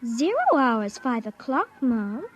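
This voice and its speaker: A woman's voice: fairly high pitch, expressive, slow pace. A woman playing a secretive, defiant little girl in a 1950s radio drama, childlike and matter-of-fact. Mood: excited, ominous.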